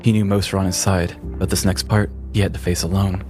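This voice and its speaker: A man with a low voice narrates a comedic scripted tale. He tells it with dramatic storytelling delivery, and music plays clearly underneath. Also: flat delivery, fairly quick. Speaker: narrator and podcast host.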